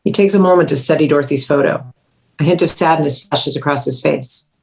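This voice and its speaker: A woman narrates a screenplay at a table read. Her voice sits low to middling in pitch, and she reads the scene directions as scripted narration. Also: brisk, gravelly, a little mellow, poor audio.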